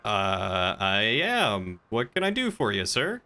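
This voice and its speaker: A man's voice: fairly low pitch, huge pitch swings, slow, bright, forceful tone. A man streaming a game, chatting casually and improvising roleplay in a polite, hesitant way.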